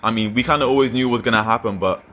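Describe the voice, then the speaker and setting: A man's voice: mid-range voice, racing, pushed and bright, a little hiss or hum. A young man from Australia and Nigeria, a skater guest chatting loosely with slang and lots of fillers. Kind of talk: casual chat.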